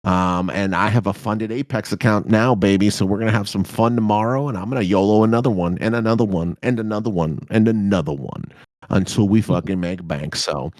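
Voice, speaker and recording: A man in his thirties co-hosting a podcast, rambling loudly and swearing through casual banter. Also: fairly low pitch, a little fast, dry recording, studio quality. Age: 30s. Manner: loud, rambling, swearing, jokey. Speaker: podcast co-host.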